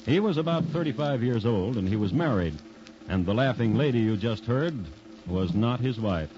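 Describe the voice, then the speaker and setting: An actor playing a wry, deadpan true-crime host, narrating a scripted 1950s radio show with dry irony. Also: male voice, medium pitch, moderate pace, soft background music, close to an everyday voice. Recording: dull 1950s radio recording.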